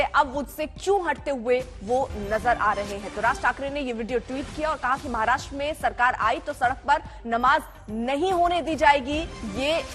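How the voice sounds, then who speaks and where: A woman presenting live news in a high-pitched voice. She is emphatic and repeats her points. Music plays behind her and her voice carries a long reverb. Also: fairly bright tone. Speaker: news anchor.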